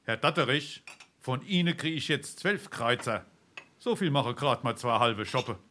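A man playing a gruff, demanding tavern creditor in a Darmstadt Hessian dialect stage comedy. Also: medium pitch, lively intonation, measured pace, a little hiss or hum. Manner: forceful, demanding.

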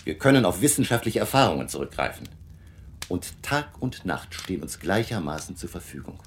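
Deep, gravelly vampire-hunting professor. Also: male voice, sing-song, a little hiss or hum. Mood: grave, resolute. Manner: deliberate, emphatic, professorial. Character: grave, learned male vampire hunter lecturing his allies. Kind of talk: German radio drama acting.

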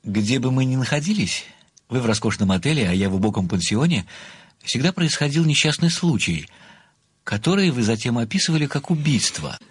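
An actor playing an obsequious, methodical amateur sleuth who speaks formally and deliberately, with flowery deference, in an audio play. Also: male voice, medium pitch, animated, slightly rough, no echo, clean studio sound. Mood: methodical, earnest. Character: obsequious, methodical amateur male sleuth, adoring a famous writer.

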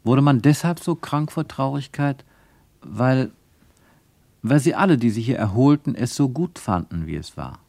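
A man reading scripted literary narration for a radio play, measured and wryly ironic. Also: mid-range voice, lively intonation, vocal fry, darkish tone, dry recording.

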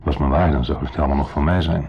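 An actor playing a wistful, provocative ex-husband visiting as a guest in a 1970s radio drama, with a wry, probing manner. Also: male voice, very deep voice, steady tone, quick pace, slight background noise. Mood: wistful, bitter. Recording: muffled 1970s radio recording.

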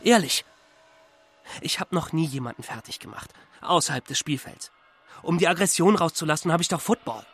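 A man's voice: fairly high pitch, animated, brisk, a bit bright, breathy edge. A young man giving a dramatized first-person audiobook narration in an agitated manner, slipping between muttering and outbursts. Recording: music behind the voice. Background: music.

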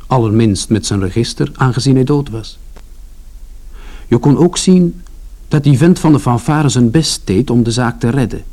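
An actor playing a wry brother who narrates a family tale in a radio drama, in a measured storytelling manner. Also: male voice, mid-range voice, warm tone, lightly acted.

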